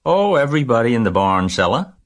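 A man's voice: mid-range voice, very animated, a little fast, voice pushed up, close to an everyday voice. A man voicing an earnest, chatty young farm girl in a storybook, in a matter-of-fact manner.

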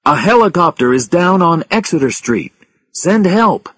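A man's voice: fairly high pitch, big pitch swings, slow pace, a little mellow, no echo, pitched above the performer's own voice, heavily acted. A man voicing a brave, determined male rescuer in an audiobook disaster story, speaking urgently and emphatically.

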